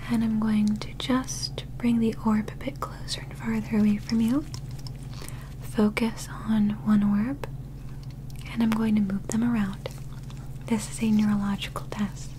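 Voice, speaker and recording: A young woman doing a soft-spoken ASMR medical roleplay in a breathy whisper, with gentle, measured, clinical instructions. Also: mid-range voice, little pitch movement, noisy background. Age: young adult. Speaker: ASMR performer playing an alien medical examiner. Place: small indoor set.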